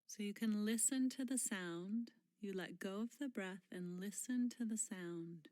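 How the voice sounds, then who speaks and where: A woman leading a guided mindfulness meditation in a gentle, unhurried manner, pausing often and letting phrase endings fall soft and breathy. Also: medium pitch, no echo, studio quality.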